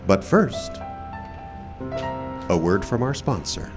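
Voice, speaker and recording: Animated male live radio show host. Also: fairly low pitch, slow. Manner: showman-like announcing, informal asides. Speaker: host and announcer. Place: outdoor park stage. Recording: live outdoor show with music behind.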